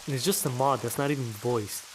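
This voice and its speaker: A man's voice: medium pitch, fairly quick, slight background noise, poor audio. A man giving casual commentary as he plays through a video game. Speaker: let's play creator.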